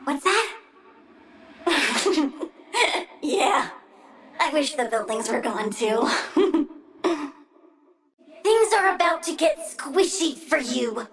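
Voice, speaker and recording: A woman's voice: high-pitched, lively intonation, extremely slow, pauses often, exaggerated voice. An actress playing a childlike plant-girl villain in a video game, girlish and playful, petulant, gleefully menacing and doting on her plants. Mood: playful menace. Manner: playful, petulant, swings from cooing to threats. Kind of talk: video game character voice lines.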